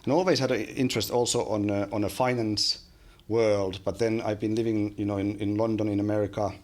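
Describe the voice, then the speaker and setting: A man in his forties with a Finnish accent speaks in a lowish register as a podcast guest. He talks with frequent ums and restarts, close to a studio microphone in a small bright room. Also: little pitch movement, a little fast. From Finland. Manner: hesitant, many fillers and restarts. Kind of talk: casual interview chat. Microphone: studio mic on boom arm.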